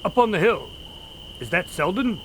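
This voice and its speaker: A man playing an earnest, observant doctor who investigates a case, speaking in a measured, explanatory way in an audio drama. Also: high-pitched, animated, fairly bright tone, a little hiss or hum, poor audio.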